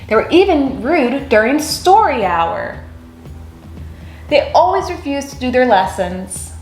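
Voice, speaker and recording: Lady reading a kids book out loud, lively and slow, echoey room w/ faint music. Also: sits high. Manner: animated, expressive storytelling. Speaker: read-aloud storyteller. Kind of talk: picture-book read-aloud.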